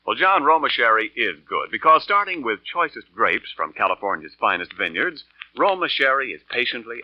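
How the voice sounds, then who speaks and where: Animated 1940s radio announcer man. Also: mid-range voice. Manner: polished, emphatic salesmanship. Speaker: radio announcer and sponsor spokesman. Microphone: studio radio microphone. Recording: muffled old radio broadcast, faint music.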